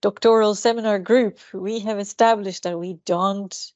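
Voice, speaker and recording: A woman's voice: mid-range voice, steady tone, normal speed, a little mellow, dry recording, lo-fi sound. A woman with a Turkish accent, a seminar speaker answering questions in an academic discussion, hesitant with many fillers and restarts. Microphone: video call.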